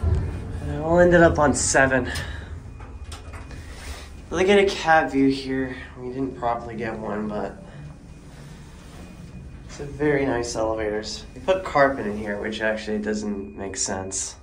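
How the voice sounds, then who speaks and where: A man's voice: mid-range voice, unhurried, long pauses, slight background noise, rough recording. A man giving plain, matter-of-fact running commentary while filming elevators in a parking garage on a handheld phone. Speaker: elevator video host. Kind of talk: casual narration while filming. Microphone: handheld phone camera.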